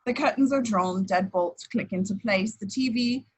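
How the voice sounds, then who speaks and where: A woman's voice: medium pitch, moderate pace, breathy edge, rough recording. A woman reading out screenplay stage directions as scripted narration in a table read. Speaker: action description reader.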